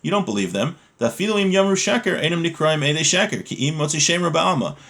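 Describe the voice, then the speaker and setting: A man's voice: fairly high pitch, sing-song, quick pace, creaky, a bit bright. A man giving an informal religious lesson, reading from a text and explaining it in plain words, often asking questions and answering them himself.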